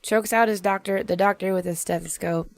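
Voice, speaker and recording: A woman's voice: medium pitch, fairly even intonation, brisk, dry recording. A woman co-hosting a podcast, tossing in brief, slangy, offhand ideas in casual chat. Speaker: podcast co-host.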